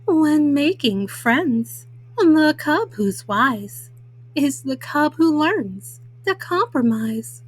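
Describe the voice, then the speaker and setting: A woman hosts storytime and reads a picture book aloud. Her voice is high, and she takes her time, phrasing everything for young children. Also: sing-song. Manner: measured, child-directed delivery. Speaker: storytime host and reader.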